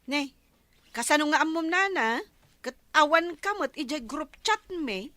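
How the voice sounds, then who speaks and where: A woman's voice: high voice, expressive, a little slow, bright, forceful tone, no room sound, full character voice. A woman acting a gossipy, teasing village neighbor in an Ilocano radio comedy drama, with an Ilocano accent. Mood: nosy.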